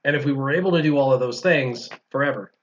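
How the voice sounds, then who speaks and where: A man's voice: medium pitch, quick pace. A man hosting a casual chat show, reading viewer questions and explaining things point by point. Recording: dull lo-fi stream audio.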